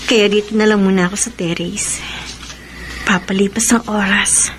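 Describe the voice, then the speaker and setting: A woman's voice: mid-range voice, fairly even intonation, moderate pace, a little hiss or hum, lightly acted. An actress playing a heartsick young woman clinging to a lost love in a Filipino radio melodrama, with emotional, dramatic delivery. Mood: bored, wistful.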